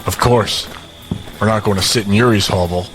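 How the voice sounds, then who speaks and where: Male actor for brutal, cocky fighter who runs things. Deep-set voice, gruff authority, smug menace, audio drama. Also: fairly even intonation, moderate pace, natural voice, low-quality recording. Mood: cocky, in command. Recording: music behind. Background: music.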